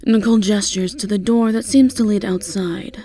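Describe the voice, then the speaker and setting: A woman's voice: mid-range voice, normal speed, warm tone, soft background music. A woman reading scripted second-person story narration as the narrator of an audio drama. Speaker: narrator and voice actor.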